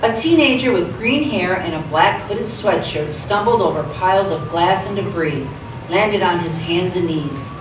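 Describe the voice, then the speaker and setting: A woman's voice: fairly low pitch, expressive, moderate pace. A woman reading scripted narration as the narrator of a live radio theatre play. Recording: echoey theatre, distant mic, clear background noise.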